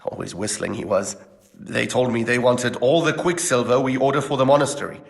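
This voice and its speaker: An actor playing a nervous, evasive monastery clerk who talks haltingly, in a video game. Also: male voice, mid-range voice, vocal fry, in a large hall, close to an everyday voice. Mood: nervous, confessing. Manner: hesitant, halting.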